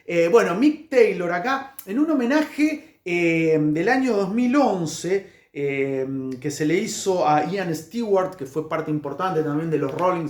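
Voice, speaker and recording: An Argentine man with a fairly high voice speaks in an echoey room. He chats informally like a music vlogger, haltingly, wandering off track and correcting himself as he goes. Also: darkish tone. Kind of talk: casual informal music history talk to camera.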